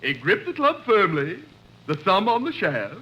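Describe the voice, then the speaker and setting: Wildly swooping, high-voiced golf instructor. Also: male voice, huge pitch swings, sharp tone, exaggerated voice. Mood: instructing. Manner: slow, drawn-out instructions with sudden outbursts. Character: eccentric, money-minded male golf instructor. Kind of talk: old-time radio comedy acting. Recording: noisy vintage radio recording.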